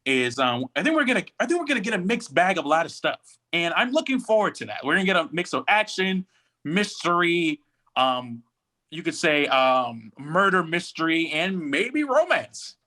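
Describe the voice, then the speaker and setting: A man's voice: fairly high pitch, very animated, moderate pace, bright, forceful tone. A man chatting casually as a podcast co-host, giving his commentary. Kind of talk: casual chat, pop culture commentary.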